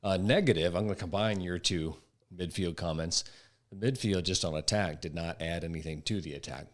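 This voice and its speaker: A man's voice: sits low, fairly quick. A man giving casual sports analysis as a podcast panelist. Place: in person around a table with several mics.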